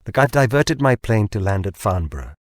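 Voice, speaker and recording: A man's voice: fairly low pitch, very animated, a little fast, no room sound, clean studio sound. Man acting an agitated, pressing company boss. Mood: pleading, urgent. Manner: urgent, pressing, staccato. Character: agitated, wheedling male company founder.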